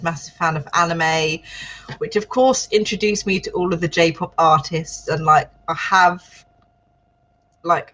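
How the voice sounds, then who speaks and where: A woman hosting a writing livestream, chatting in a lively, self-deprecating way with her co-host. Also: on the low side, rough recording. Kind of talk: casual chat on a writing livestream.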